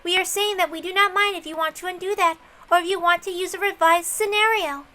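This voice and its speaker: A woman's voice: very high voice, steady tone, a little fast, sharp tone, slight background noise, pitched above the performer's own voice. A woman voicing a needling, formally polite young female detective rival in a visual novel reading. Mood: smug, taunting.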